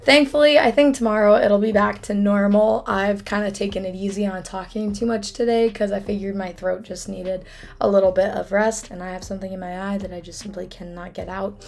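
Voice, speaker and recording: A young woman with a hoarse, froggy voice, casually telling a true crime case as a YouTube host, with chatty, apologetic asides. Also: mid-range voice, steady tone, brisk, darkish tone.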